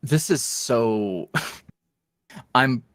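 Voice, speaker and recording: A man's voice: mid-range voice, lively intonation, very slow, no echo. A man chatting casually as a podcast guest, in a folksy, self-deprecating and jokey manner. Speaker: interview guest, online audio roleplay creator.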